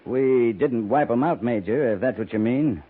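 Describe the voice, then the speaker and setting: An actor playing a dry, sardonic, weary but humane cavalry captain in a 1950s radio western, with a clipped, commanding delivery. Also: male voice, mid-range voice, normal speed, slightly rough, close to an everyday voice. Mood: dry defiance. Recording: noisy 1950s radio recording, narrow band.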